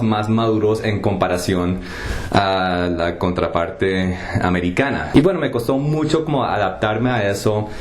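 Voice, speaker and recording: Relaxed low-voiced man telling his testimony. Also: slight background noise. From United States. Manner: relaxed, halting, many fillers and restarts. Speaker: testimony vlogger. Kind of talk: casual personal testimony. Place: home kitchen.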